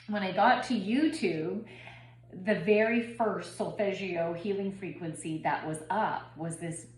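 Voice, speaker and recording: A woman's voice: fairly low pitch, a little mellow. A woman in her forties, a bit congested from a head cold, talking in a rambling, personal way with many ums and restarts in a spiritual vlog. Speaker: spiritual reader and yoga teacher. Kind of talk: casual spiritual channeling vlog. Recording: echoey room with faint background noise.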